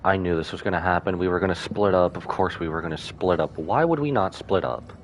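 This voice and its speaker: A man streaming his reaction to a game, talking casually with excitable exclamations. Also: deep voice, a little fast, a little hiss or hum. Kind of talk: casual livestream reaction commentary.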